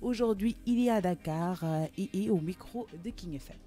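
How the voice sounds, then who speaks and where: A woman's voice: mid-range voice, normal speed, dark tone, faint music behind. A woman radio host reading a guest's bio from a prepared script. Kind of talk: scripted presenter introduction.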